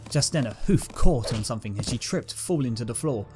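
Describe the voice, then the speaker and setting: A man's voice: mid-range voice, expressive, brisk, music in the background. A man narrating a dramatic scripted story as its reader.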